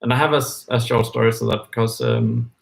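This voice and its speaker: Man musing in halting English. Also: mid-range voice, fairly even intonation, vocal fry. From Denmark. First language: Danish. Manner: hesitant, thinking aloud with many fillers and restarts. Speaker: co-host of a live book discussion. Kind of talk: casual discussion.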